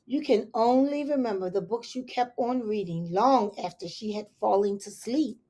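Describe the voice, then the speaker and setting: A woman teacher reading a picture book aloud to young children, expressive and unhurried, with soft asides to her listeners. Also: a little high. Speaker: elementary school teacher.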